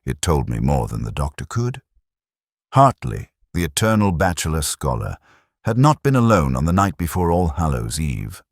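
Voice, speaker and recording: Very deep male detective-story narrator. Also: sing-song, normal speed, dry recording, clean studio sound. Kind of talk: scripted first-person detective story narration.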